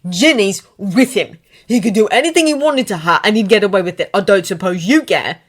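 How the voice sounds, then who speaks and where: A woman voicing an angry, resentful teenage wizard who talks loudly and bitterly. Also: mid-range voice, lively intonation, brisk, lightly acted. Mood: furious. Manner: loud and shouting, bitter.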